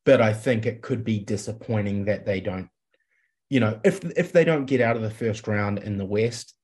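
Low-voiced New Zealand man chatting sport. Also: normal speed, a little mellow. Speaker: sports podcast panelist. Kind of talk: casual sports chat.

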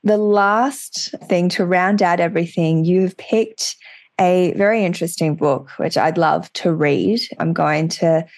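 Conversational host, woman, low and gritty, dead-dry studio. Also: a little slow, darkish tone, dry recording. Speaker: podcast host. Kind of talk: podcast interview. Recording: dry close sound.

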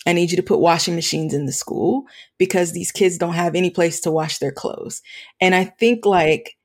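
A woman with a slightly low voice speaks as a guest in a reflective interview. She is earnest and halting, often pausing in the middle of a thought before carrying on. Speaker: podcast guest, nonprofit founder working with students.

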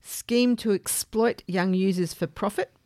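A woman hosting a podcast, explaining things in a measured way with informal asides. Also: mid-range voice, a little mellow, no room sound. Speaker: podcast host.

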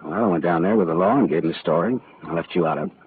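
Deep-voiced, hard-boiled radio detective. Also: male voice, very fast, vocal fry. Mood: sardonic. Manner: sardonic, clipped, slangy wisecracking. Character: wry, hard-boiled male private detective. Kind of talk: old-time radio noir acting and first-person narration. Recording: muffled, noisy old-time radio recording.

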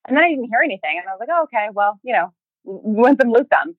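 A woman giving a casual interview as a podcast guest, talking in a chatty, halting way and often slipping into quoted speech. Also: on the high side, sing-song, no room sound, narrow, tinny audio. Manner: chatty, halting, acts out remembered thoughts and emails in quoted speech. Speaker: podcast guest, fiction podcast creator and writer.

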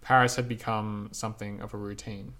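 A man reading his own reflective essay aloud in a measured, lyrical, unhurried way. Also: on the low side, level tone, a bit bright.

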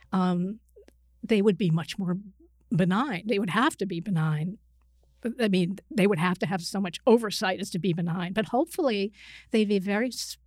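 A woman's voice: medium pitch, a little mellow, no echo, clean studio sound. A woman explaining things informally as an expert interview guest, often breaking off and rephrasing mid-sentence. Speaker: interview guest, psychiatrist and writer.

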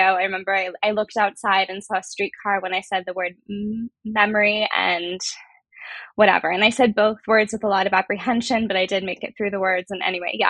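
A woman's voice: mid-range voice, fairly bright tone, no room sound. A woman guest chatting casually with fillers and an occasional minor stammer. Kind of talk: casual conversation.

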